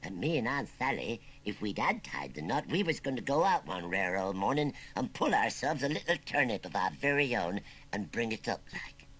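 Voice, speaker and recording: A man voicing a rustic, sentimental old scarecrow uncle with a West Country accent, rambling and plaintive, in a raspy storybook voice. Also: fairly high pitch, big pitch swings, moderate pace, fairly bright tone, voice pushed up, heavily acted. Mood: mournful, wistful. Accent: English West Country.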